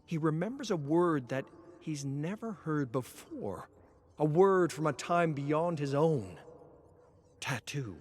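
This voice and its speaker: A man narrating a dramatic story in a theatrical, deliberate way, moving between hushed and intense delivery. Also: on the high side, animated, slight background noise.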